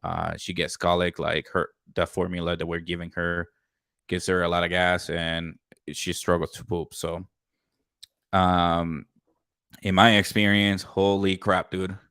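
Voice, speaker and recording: Deep-voiced upbeat young podcast host. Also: male voice, flat delivery, a little slow, creaky, clear, resonant tone, no room sound, clean studio sound. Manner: loud, upbeat, swears freely. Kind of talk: casual chat.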